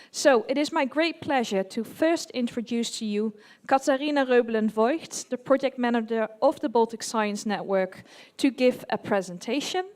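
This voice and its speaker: Woman moderating a conference, slightly high voice. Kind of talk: formal conference introduction.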